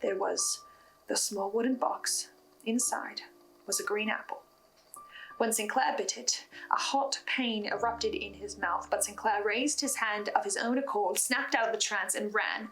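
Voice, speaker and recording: A woman's voice: mid-range voice, unhurried, a bit bright, poor audio. A woman presenting a scripted recap of a horror story, adding her own theories and asides. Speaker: host of a recap and theory video on a horror podcast.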